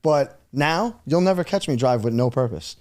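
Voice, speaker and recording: A man, a guest on a talk show, venting in an emphatic, ranting way. His voice sits at a middle pitch for a man. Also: expressive, moderate pace, darkish tone. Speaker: podcast guest. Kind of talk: casual chat.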